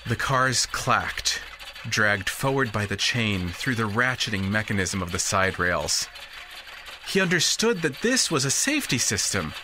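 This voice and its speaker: A man's voice: a little high, noisy background. A man narrating a scripted audio drama in a dramatic, precise storytelling manner.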